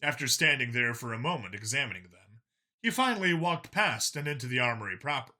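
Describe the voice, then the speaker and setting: A man's voice: mid-range voice, expressive, brisk, pushed and bright. A man reading scripted fiction as an audiobook narrator.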